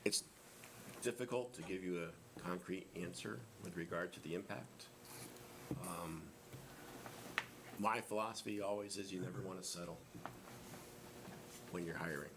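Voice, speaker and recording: Low gravelly man at a board meeting. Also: a little slow, long pauses, noisy background. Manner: hesitant, many restarts and fillers. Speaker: school administrator. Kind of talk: answering questions at a board meeting. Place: public meeting room.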